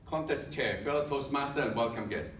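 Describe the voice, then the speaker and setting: A man with a Filipino accent giving a humorous prepared speech at a contest, joking in a dry way and pausing for laughs. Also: mid-range voice, a little hiss or hum. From Philippines. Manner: deadpan joking with pauses for laughs. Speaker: contest speaker. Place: meeting hall. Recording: echoey room from a distance.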